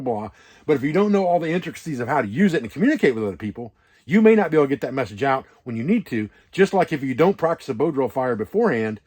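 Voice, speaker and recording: Man, medium pitch, informal instructional vlog, rambling explanatory delivery, conversational. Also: a little mellow. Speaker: outdoor preparedness instructor and video host. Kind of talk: casual instructional vlog talk. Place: wall tent at a hunting camp.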